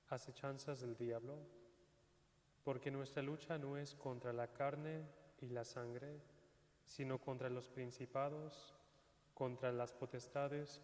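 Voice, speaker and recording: Solemn priest reading in a reverberant church. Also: male voice, medium pitch, darkish tone. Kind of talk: reading a scripture prayer.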